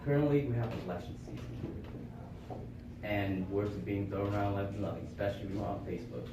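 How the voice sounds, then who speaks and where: A young man gives a prepared speech to a small audience, working through examples and rhetorical questions in an earnest, explanatory way. His voice sits on the low side. The camera is far away, so the room echo is heavy and background noise comes through clearly. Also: fairly even intonation, a little fast. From born in Vietnam, raised in Florida. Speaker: club speaker.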